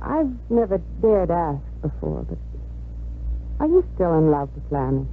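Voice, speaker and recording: An actress playing a worldly, self-satisfied middle-aged mother in a 1950s radio drama, brisk and theatrical in manner. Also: deep voice, sing-song, narrow, tinny audio, a little hiss or hum, full character voice. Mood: arch, self-satisfied. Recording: thin 1950s radio recording.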